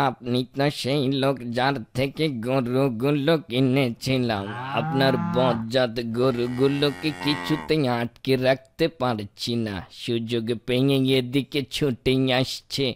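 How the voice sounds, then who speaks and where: A man's voice: mid-range voice, steady tone, slow pace, close to an everyday voice. A man voicing a grumbling, exasperated male cattle buyer in a comic cartoon.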